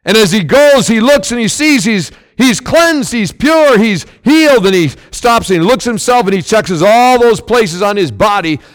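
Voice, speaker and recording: A man preaching with a loud, big-mouthed delivery, his high voice leaping all over the place. He pushes phrases hard, then pulls back to quieter asides. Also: lively intonation, moderate pace, hardly any pauses, poor audio. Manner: loud, emphatic preaching with sudden swells and drops. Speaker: pastor. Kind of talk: sermon.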